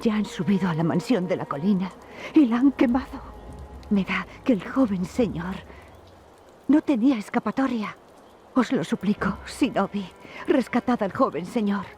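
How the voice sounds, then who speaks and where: A woman playing a frightened, loyal servant in a video game, deferential and imploring, in a mid-pitched voice. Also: lively intonation, moderate pace, darkish tone, natural voice. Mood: pleading. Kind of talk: dubbed video game cutscene acting.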